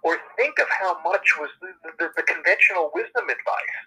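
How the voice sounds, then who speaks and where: A man hosting a conversation, making an emphatic, lively argument. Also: high voice, moderate pace, rough recording. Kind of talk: conversational advocacy.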